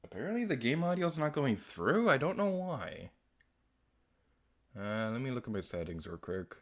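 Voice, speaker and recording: A man streaming a video game with a naturally quiet voice, chatting casually and a little self-deprecating as he plays. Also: mid-range voice, big pitch swings, normal speed. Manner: soft-spoken, rambling and self-deprecating. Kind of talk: casual gameplay commentary.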